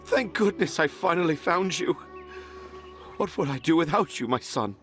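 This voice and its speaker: Give this game character a lighter-pitched, very animated voice: a dreamy, self-important storyteller who declaims in flowery poetry. Music plays clearly behind him. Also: male voice, normal speed. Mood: relieved, effusive. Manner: theatrical, flowery, poetic. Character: flamboyant, dreamy, self-important male children's storyteller and father. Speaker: children's author character. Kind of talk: video game character acting.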